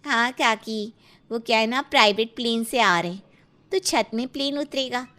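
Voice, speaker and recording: A woman's voice: on the high side, normal speed, sharp tone. A woman voicing a young, eager younger daughter-in-law who tells tall tales breezily in a comic story. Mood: earnest bragging.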